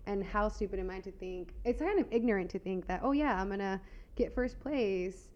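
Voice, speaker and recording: A woman's voice: mid-range voice, lively intonation, moderate pace, a little mellow, highs cut off. A young American woman talking as a guest in casual chat, full of "like" and easy laughs. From United States. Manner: chatty, fills with "like", laughs easily. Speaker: guest, figure competitor.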